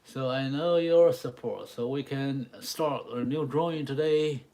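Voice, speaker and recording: Slow-talking man with a Taiwanese accent. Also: mid-range voice, mellow, low-quality recording. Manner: halting, repeats short phrases, talks to viewers. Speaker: live-stream drawing artist and host. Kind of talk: casual live-stream chat with drawing demonstration.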